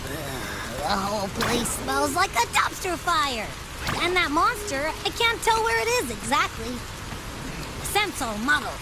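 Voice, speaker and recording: High, swooping little creature sidekick. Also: female voice, a little slow, very noisy, full character voice. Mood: grumbling, puzzled. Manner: playful, expressive, childlike. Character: small cute female creature companion, sleepy, cheeky, eager scent-tracker. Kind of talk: video game voice acting.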